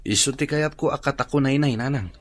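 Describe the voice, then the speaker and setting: An actor playing a subdued grown son in an Ilocano radio drama. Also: male voice, mid-range voice, fairly quick, a bit bright, close to an everyday voice. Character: subdued grown son answering his mother.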